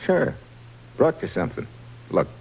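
A man playing a big-hearted baseball slugger, gruff but kind, in old-time radio drama style with folksy, plain speech. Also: measured pace, a little mellow, lightly acted, low-quality recording. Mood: kindly, encouraging.

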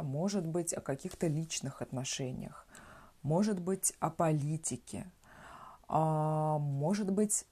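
A woman from Russia hosts a relaxed language podcast, speaking in an unhurried, lower voice. She explains words patiently and checks in with the listener as she goes. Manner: explains words simply, often asks "да?". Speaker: podcast host teaching Russian. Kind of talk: slow conversational language lesson.

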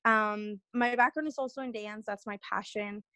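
A woman's voice: medium pitch, fairly even intonation, moderate pace, bright, forceful tone, dry recording. A young woman from Peru, a guest on an interview podcast, telling her story in a rambling, earnest way with many restarts.

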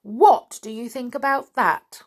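A woman voicing a kindly, gently scolding little magical man in a storybook reading. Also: a little high, unhurried, pitched above the performer's own voice.

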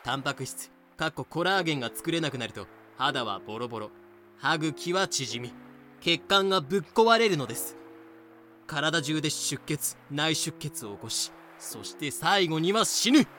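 A man performs a theatrical, despairing male teacher in a fan audio drama. His voice is high and sharp, and he lectures dramatically as music plays behind him. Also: animated, moderate pace. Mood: gloomy, dramatic.

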